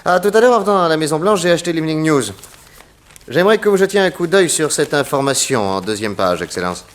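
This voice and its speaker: An actor playing a shrewd, courteous detective who questions people with theatrical confidence, in a 1960s radio serial. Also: male voice, a little high, expressive, quick pace, lightly acted. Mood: confident, deductive. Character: shrewd, probing, confident American male detective. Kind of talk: 1960s French radio drama acting.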